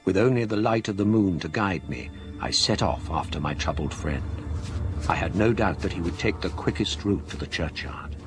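Low male voice, scholarly middle-aged gentleman, measured, grave, radio ghost story, music behind. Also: animated. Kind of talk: radio drama narration.

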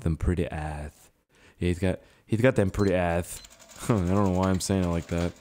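Young male gaming host, voice hoarse and a bit low, rambling and swearing, self-deprecating. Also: fairly even intonation, moderate pace, a little mellow, slight background noise. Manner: rambling, profane, self-mocking. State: hoarse, strained voice. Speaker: gaming YouTuber. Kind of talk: casual let's-play commentary.

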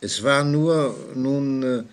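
An actor playing a composed, authoritative prison inspector in a vintage German radio play, formal, deliberate and patiently firm. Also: male voice, medium pitch, a little hiss or hum, natural voice. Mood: firm, reassuring.

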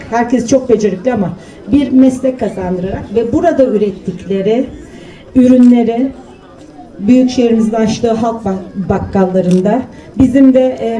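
A woman giving a plain public speech at an opening event, pausing with fillers. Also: on the high side, warm tone, distant mic, poor audio.

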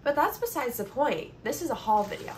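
A woman's voice: high-pitched, animated, fairly quick. A woman in her twenties with a congested voice, chatting casually and informally as a vlogger filming on a phone in a bedroom. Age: 20s. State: congested from allergies. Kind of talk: casual haul chat. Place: bedroom, indoors. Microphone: phone camera at about arm's length or further. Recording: noticeable background noise, phone recording.

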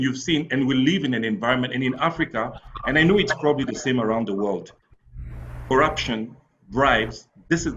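A man's voice: mid-range voice, fairly bright tone, a little hiss or hum. Man, from Cameroon, entrepreneur, candid storytelling, repeats himself for emphasis, dull lo-fi call audio. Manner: earnest, frank, repeats phrases for emphasis. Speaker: entrepreneur panelist. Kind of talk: candid storytelling on a webinar panel. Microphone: video call.

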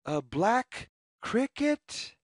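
A woman reading a children's picture book aloud as storyteller, with deliberate, sing-song phrasing. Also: a little high, very animated, no room sound, clean studio sound.

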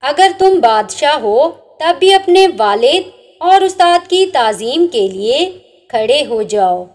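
A high-pitched woman recites inspirational religious sayings at an unhurried, deliberate pace. Her delivery is measured and lilting, rising and falling widely through each line. Every saying settles onto a lower, quieter close. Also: very animated. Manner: measured recitation, each saying ends on a dropping, softer note. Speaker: narrator. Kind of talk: reading inspirational religious sayings over slides.

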